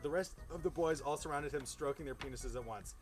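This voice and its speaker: A man with a slightly high voice reads a story aloud in a casual, informal way. He stumbles over words and drops in profane asides. Faint music plays behind him. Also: brisk. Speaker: host reading fan fiction aloud. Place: outdoors beside a fire.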